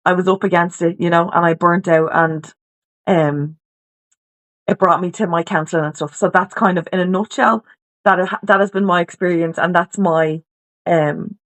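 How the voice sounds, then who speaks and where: An Irish woman from Dublin speaks as a podcast guest, telling her story in a loose, chatty way. She restarts her sentences often and leans on "you know" and "like." She sounds as if she is on a video call from home. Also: lowish voice. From Ireland, Dublin. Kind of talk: candid personal story in conversation.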